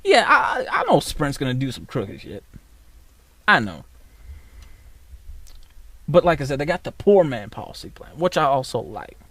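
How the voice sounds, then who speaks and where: A man's voice: mid-range voice, wildly swooping pitch, normal speed, long pauses, a bit bright, no room sound. Blunt, profane man ranting on camera. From United States. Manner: blunt, profane and rambling, with many fillers. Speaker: vlogger. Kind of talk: casual ranting monologue.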